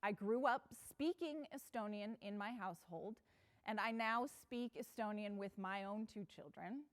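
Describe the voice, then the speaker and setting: A woman's voice: mid-range voice, moderate pace. A woman from the United States giving an earnest, personal conference talk on stage, with some fillers and restarts. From United States (Pacific Northwest). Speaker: conference speaker.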